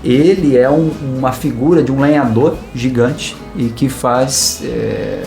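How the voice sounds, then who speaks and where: A man co-hosting a casual film talk show, speaking in a relaxed, meandering way with many fillers. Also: medium pitch, measured pace, creaky. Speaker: podcast co-host. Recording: roomy echo, faint guitar music.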